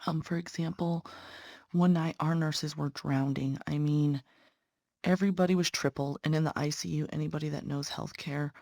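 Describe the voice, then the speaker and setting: A woman's voice: low voice, fairly even intonation, normal speed, mellow, dry recording. A young woman, a patient care tech and nursing student, chatting earnestly to viewers while stitching, sounding congested. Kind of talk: casual chat while stitching.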